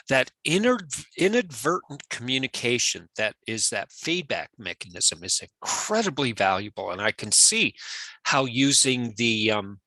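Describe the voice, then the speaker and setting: A man co-hosting a podcast, reflecting on ideas and pausing to find his words. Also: medium pitch, bright, forceful tone, no room sound, rough recording. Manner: reflective, searches for words with fillers. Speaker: podcast co-host. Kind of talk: casual podcast chat.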